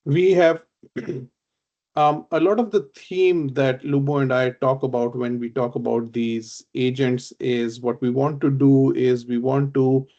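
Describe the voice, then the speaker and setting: A man from Pakistan whose first language is Urdu walks through a software demo, explaining at a measured pace with many fillers and restarts. His voice is mellow and fairly dark, and the webinar audio sounds a little dull and muffled. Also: mid-range voice. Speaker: presenter demoing software.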